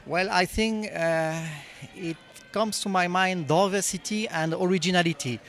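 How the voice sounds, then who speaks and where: A man from France, a professor answering interview questions at a conference, halting and searching for words. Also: high-pitched, a bit bright. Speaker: wine professor.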